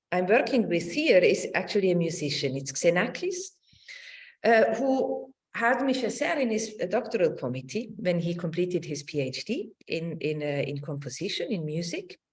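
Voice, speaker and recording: A middle-aged woman giving an academic lecture, thoughtful in phrasing and partly reading from notes. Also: medium pitch. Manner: halting, searching delivery with repeated words and tag "no?".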